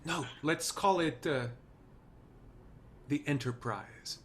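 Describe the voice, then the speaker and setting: A man's voice: a little high, slow pace, fairly bright tone, a little hiss or hum. A man playing an idealistic, charming, swaggering TV writer in a screenplay table read. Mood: confident pitching.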